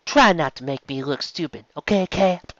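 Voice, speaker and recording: A man voicing a nervous shady male pony dealer with a put-on Cockney accent, talking in a wary hush. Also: a little high, normal speed, dry recording, highs cut off, pitched above the performer's own voice, natural voice.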